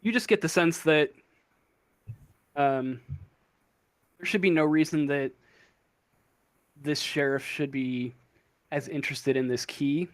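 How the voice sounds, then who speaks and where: A man narrating an improvised tabletop game as game master, halting and searching for words. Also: fairly high pitch, no room sound.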